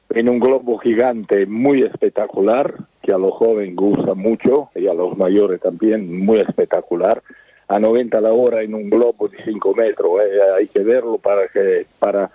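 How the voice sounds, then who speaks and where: A circus manager with an Italian accent, speaking in a halting, repetitive, emphatic way in a radio interview. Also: male voice, on the low side, no room sound. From born in Italy. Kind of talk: radio interview over the phone. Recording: tinny dry phone line.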